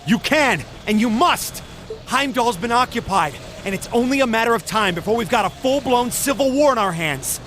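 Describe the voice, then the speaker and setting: Resolute high-voiced young ally. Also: male voice, animated, moderate pace, a bit bright. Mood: urgent. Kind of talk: English dub video game cutscene acting. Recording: faint background noise.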